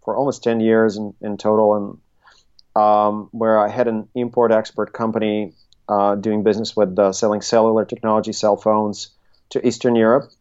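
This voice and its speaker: A middle-aged man, speaking conversationally as an interview guest and haltingly thinking his way through his answers. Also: fairly low pitch, level tone, a little mellow, clean tone, highs cut off. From Russia, Soviet Union. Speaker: interview guest, tech consultancy CEO. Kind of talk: conversational interview, recounting career.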